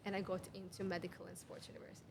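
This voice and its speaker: A woman's voice: fairly low pitch, completely flat delivery, very fast, vocal fry. Serbian-accented woman with faint background noise. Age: 30s. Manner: earnest and explanatory, stringing rhetorical questions, with non-native grammar slips. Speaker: guest, women's health mentor. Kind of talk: conversational podcast interview. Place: cafe.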